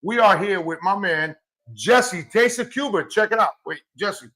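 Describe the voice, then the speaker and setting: A man hosting a podcast live from a cigar shop, loud, joking and profane. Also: high voice, moderate pace. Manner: boisterous, profane, teasing. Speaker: podcast host.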